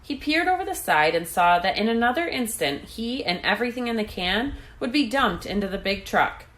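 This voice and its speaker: A woman reading a children's book aloud in an expressive narrating style. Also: medium pitch, normal speed. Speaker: storybook reader.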